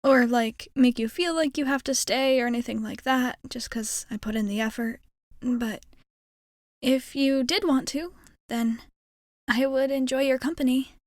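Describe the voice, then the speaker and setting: A woman's voice: sits high, unhurried, no echo, clean studio sound. A woman playing a shy, nervously hopeful young alien space traveler in an ASMR roleplay, rambling hesitantly and putting herself down. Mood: nervous, self-effacing. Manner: hesitant, rambling, self-effacing. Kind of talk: ASMR roleplay, intimate character monologue.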